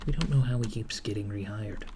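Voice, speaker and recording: A man playing a dry, deadpan villain's recruiter in a soft-spoken ASMR roleplay, measured and a little hesitant as he interviews henchmen. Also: lowish voice, noisy background, lightly acted. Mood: dry, wary. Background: computer keyboard typing.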